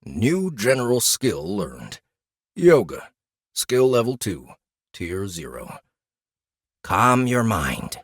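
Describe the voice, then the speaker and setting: A man's voice: on the low side, sing-song, breathy edge, no echo, clean studio sound, exaggerated voice. A man voicing a mocking, disembodied game announcer, a gleeful prankster who draws out notifications and teases, in a fantasy audiobook. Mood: mock solemn. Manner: teasing, theatrically drawn-out announcements.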